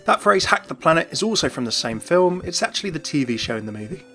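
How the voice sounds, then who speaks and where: Male British gaming host, fairly high voice, rapid enthusiastic voice-over delivery. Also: big pitch swings, a bit bright. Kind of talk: enthusiastic video narration and explainer. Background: faint music.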